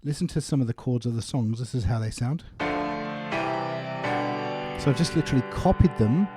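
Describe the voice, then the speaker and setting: A man giving a casual, explanatory software tutorial on music production. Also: medium pitch, moderate pace. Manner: explanatory, informal.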